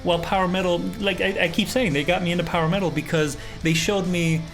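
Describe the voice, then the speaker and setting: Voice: medium pitch, steady tone, fast talker. Slangy music reaction co-host over background music. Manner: slangy, swearing, jokey. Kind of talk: casual reaction chat.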